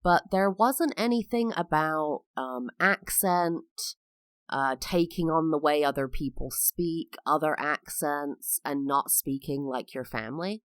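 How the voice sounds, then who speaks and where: Female podcast host from England, very low pitch, chatty and explanatory, dry booth sound. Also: measured pace, no echo. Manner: personal, explanatory, with frequent fillers. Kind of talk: solo podcast monologue.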